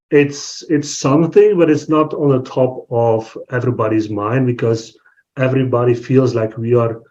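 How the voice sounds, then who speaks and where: A man's voice: medium pitch, mellow, highs cut off. A man in his forties from Belgium, a podcast guest talking casually and thoughtfully, often restarting as he looks for words. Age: 40s. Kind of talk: casual interview chat.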